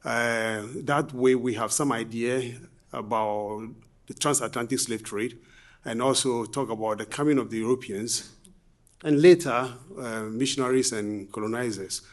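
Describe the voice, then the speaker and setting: A man from Ghana giving a conference lecture, speaking in a measured way with frequent fillers. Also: medium pitch. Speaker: guest speaker. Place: conference hall.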